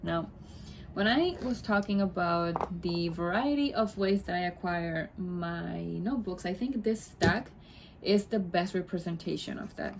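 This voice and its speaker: A woman's voice: lowish voice, unhurried, creaky, a little hiss or hum, rough recording. A young woman from Puerto Rico, a native Spanish speaker, chatting casually to camera in a rambling way with many asides and self-corrections. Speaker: vlogger.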